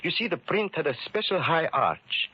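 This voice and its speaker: An actor playing a mild, methodical shoemaker, speaking politely and deliberately in an old-time radio drama. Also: male voice, fairly high pitch, expressive, husky. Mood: earnest, deliberate. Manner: deliberate, polite, formal phrasing. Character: mild, methodical immigrant shoemaker turned amateur detective, married man. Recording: muffled old radio broadcast recording.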